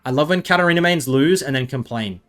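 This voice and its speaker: A man's voice: a little high, quick pace, fairly bright tone, faint music behind. An Australian man giving rambling, self-mocking commentary over gameplay, full of chatty asides. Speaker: gaming video creator.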